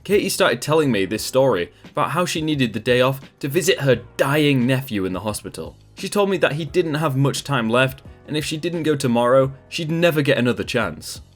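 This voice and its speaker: A man narrating a read-aloud online story in a scripted, expressive manner. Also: mid-range voice, brisk, hardly any pauses. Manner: lively, expressive reading. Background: faint background music.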